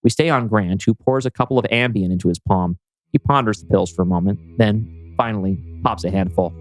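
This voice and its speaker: A man's voice: fairly low pitch, fairly quick, soft background music, rough recording. A man hosting a podcast, reading out the action of a screenplay as scripted narration. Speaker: podcast host and script reader.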